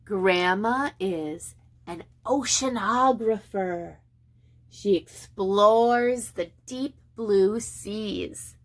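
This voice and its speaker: A woman preschool teacher reading a picture book aloud to young children in an expressive, sing-song way with deliberate pauses. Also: mid-range voice.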